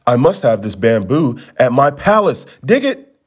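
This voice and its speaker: A man's voice: medium pitch, big pitch swings, normal speed, husky. Man reading as an earnest, noble storybook prince. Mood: insistent. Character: noble, tender, determined young prince in a storybook.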